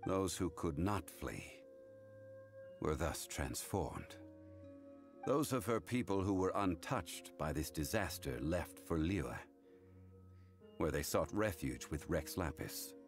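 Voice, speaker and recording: A man playing a calm, grave consultant in a video game, speaking formally and with solemn measure. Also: low-pitched, takes it slowly, pauses often, soft background music, full character voice. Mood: somber, measured. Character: calm, grave, worldly male consultant recounting hard truths. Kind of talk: English video game voice acting.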